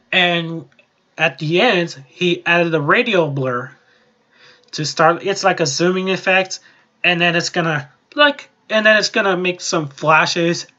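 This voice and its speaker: A man hosting a casual software tutorial, explaining in a rambling, repetitive way. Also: a little high, highs cut off. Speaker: tutorial host.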